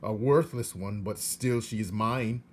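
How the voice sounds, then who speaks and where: A man's voice: fairly low pitch, fairly even intonation, a little slow, slight background noise, natural voice. A man voicing an indignant, grasping peasant uncle in a storybook, exclaiming and protesting. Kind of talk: storybook character reading.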